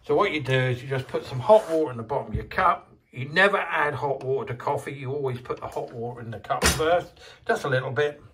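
A man's voice: mid-range voice, fairly quick, a bit bright, slight background noise. An older man in a home kitchen, chatting casually through a how-to with lots of fillers and restarts, filmed on a handheld phone. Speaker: home video maker.

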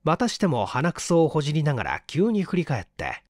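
A man reading a story aloud as an audiobook narrator, in an expressive scripted delivery. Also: a little high, a little fast, no echo, studio quality.

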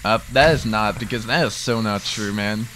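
A man co-hosting a gaming video in casual, teasing banter with excitable interjections. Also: lowish voice, moderate pace, a little hiss or hum, rough recording. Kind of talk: casual joking gameplay banter. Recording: game music behind the voice. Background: video game music.

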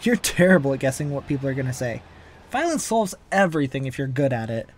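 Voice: medium pitch, big pitch swings, fast, a little hiss or hum, natural voice. A performer voicing a wisecracking, manic masked antihero in a comic-book dub, flippant and theatrical but caring underneath. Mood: flippant, joking. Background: background music.

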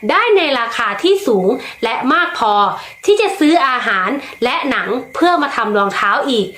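A woman reads a children's fairy tale in a high, bright register, her pitch rising and falling in a lilting storyteller's way. The reading is unhurried and expressive. The room around her adds a noticeable echo.

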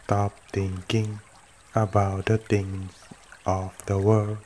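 Male meditation guide, low-pitched and extremely slow, gentle short phrases with long pauses, trickling stream behind. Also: flat delivery, slight background noise. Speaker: meditation teacher. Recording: running water throughout. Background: trickling stream water.

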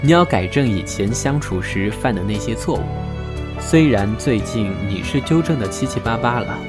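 An actor playing a reserved, wounded young man in an audio drama, speaking in a measured, resigned, serious way. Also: mid-range voice, expressive, close to an everyday voice. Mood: calm, decided. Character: reserved, wounded young man setting terms to a former lover. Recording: music behind the voice. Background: music.

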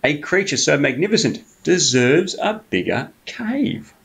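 A man voicing a sneaky, cunning male fox who coaxes and flatters in a smooth sales patter. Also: a little high, a little slow, noisy background, voice pushed up, exaggerated voice. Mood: coaxing.

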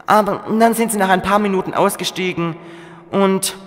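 A man's voice: high-pitched, fairly even intonation, moderate pace, lightly acted. A male actor playing a determined, reassuring boy who leads young adventurers in a children's audio drama. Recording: reverberant dungeon effect, faint music.

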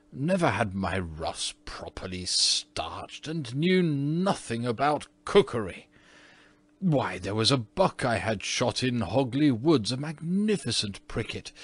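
A man's voice: lowish voice, fairly bright tone, dry recording, heavily acted. A man voicing a petulant, haughty old aristocratic English ghost in a storybook, speaking in a pompous, theatrical way. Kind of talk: storybook character reading.

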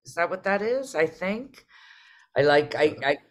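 A woman's voice: lowish voice, animated, a little slow. A middle-aged woman hosting a conversational panel over a video call, musing and emphatic as she circles through her phrasing. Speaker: host and theater artistic director. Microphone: video call microphone.

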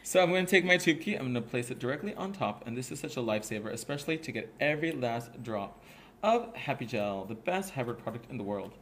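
A man's voice: mid-range voice, big pitch swings, fast talker, a bit bright, a little hiss or hum. A man running a live nail product demonstration, chatting while explaining each step. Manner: chatty, explains steps while reading viewer comments. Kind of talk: live demo with chat.

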